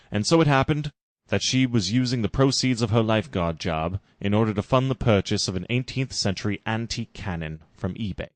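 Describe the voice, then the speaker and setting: A man reading a parody fan story aloud in a deadpan narrating manner. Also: lowish voice, no echo. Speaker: reader.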